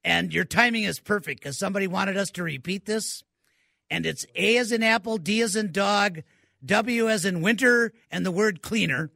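A man's voice: sits high, moderate pace, creaky, pushed and bright, no echo, studio quality. A man, co-hosting a radio call-in show and giving tech advice with enthusiasm, repeating words for emphasis. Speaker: tech expert co-host.

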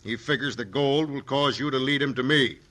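Forceful, scheming crooked official played by a man. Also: mid-range voice, fairly quick, natural voice. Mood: coldly menacing. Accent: American. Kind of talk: old-time radio western acting.

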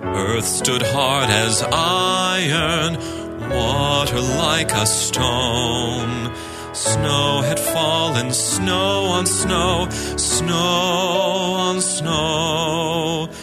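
A female singer delivers a Christmas carol in a very low register, drawn out extremely slowly. Loud instrumental music accompanies her. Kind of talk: slow sung Christmas carol.

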